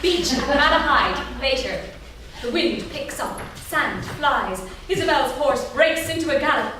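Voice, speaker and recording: A woman's voice: high-pitched, lively intonation, measured pace, bright, forceful tone. A woman reading scripted stage directions as narration. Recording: echoey theatre from far off, noisy.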